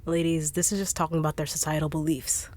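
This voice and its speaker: Woman, low voice, dry sarcastic no-nonsense delivery for audio drama. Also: little pitch movement, fast talker, darkish tone. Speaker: voice actor in audio roleplay. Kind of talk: acted audio roleplay.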